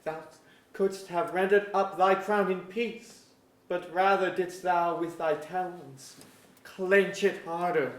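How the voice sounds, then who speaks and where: Man playing a high-voiced, defiant young doomed king. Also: steady tone, slow. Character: young defiant doomed elf-blooded male ruler, lovesick and lyrical. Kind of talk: staged reading of archaic verse drama. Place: museum auditorium, staged reading. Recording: echoey auditorium stage.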